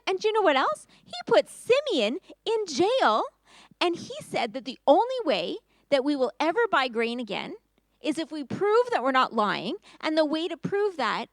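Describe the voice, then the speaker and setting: Frantic grown brothers in a children's story, piling their words up in high, gasping bursts, as a woman puts them on. The voice sits very high, and the recording is close and dry. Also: a bit bright, no echo. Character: panicked band of grown brothers.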